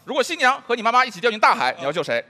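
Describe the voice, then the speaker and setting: A man's voice: very high-pitched, sing-song, rapid-fire, sharp tone. A man performing manzai sketch comedy, delivering absurd lines straight-faced like a mock announcer. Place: large TV studio stage with live audience. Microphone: headset. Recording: very noisy stage with audience.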